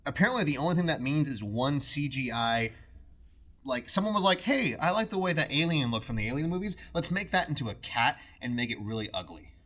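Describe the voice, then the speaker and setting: A man talks as a podcast co-host, racing through his opinions in a loose, conversational way. His pitch swings widely as he rants with sarcasm and exasperation. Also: lively intonation, a little fast, a bit bright. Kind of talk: casual chat, opinionated film and game commentary.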